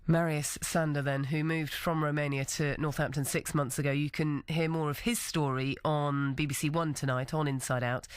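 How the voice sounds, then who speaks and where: A woman's voice: deep voice, fairly even intonation, normal speed, hardly any pauses, clean tone, no echo. Woman, radio presenter, dry close studio sound, conversational questions. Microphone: studio microphone.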